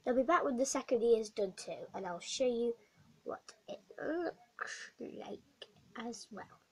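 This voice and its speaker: Croaky young girl with a cold. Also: a little high, expressive, measured pace. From not American. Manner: chatty, rambling with many ums and uhs. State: has a bad cold, croaky. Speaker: craft vlogger. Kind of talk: casual craft tutorial vlog. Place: small dim bedroom indoors.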